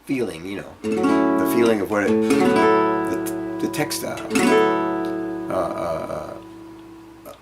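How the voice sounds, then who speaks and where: A man giving an informal guitar lesson, explaining things directly to the learner in a halting, conversational way. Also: fairly low pitch, animated. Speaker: guitar teacher. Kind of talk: informal instructional music lesson to camera.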